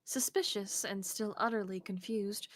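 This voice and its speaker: A woman's voice: mid-range voice, steady tone, a little slow. A woman streamer reading a visual novel's narration aloud, with casual asides. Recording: faint background noise.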